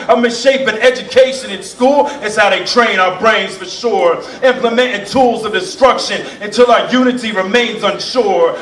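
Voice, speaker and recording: Man, spoken word poet, impassioned rhythmic delivery, high pitch, distant stage recording. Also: a bit bright, reverberant. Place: performance venue. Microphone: stage mic, heard from a distance.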